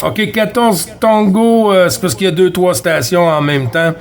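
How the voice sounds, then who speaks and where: A man's voice: high voice, normal speed. A man with a Quebec French accent chatting casually as a CB radio operator, making contacts over the air. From Quebec, Canada. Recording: noisy radio static, clicks.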